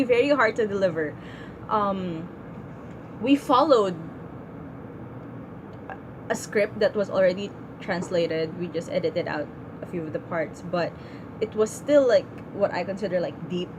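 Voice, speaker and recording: A young woman vlogging in a casual, heartfelt way, with plenty of ums and likes. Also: medium pitch. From Philippines. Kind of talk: casual reflective vlog. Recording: noisy room with air conditioning hum.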